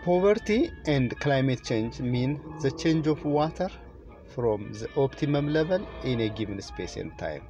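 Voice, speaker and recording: A man's voice: medium pitch, sing-song, a little slow, over music. Ethiopian-accented man, slightly dull recording. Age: middle-aged. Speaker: presenter, children's author. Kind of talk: prepared speech to camera. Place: inside a parked car.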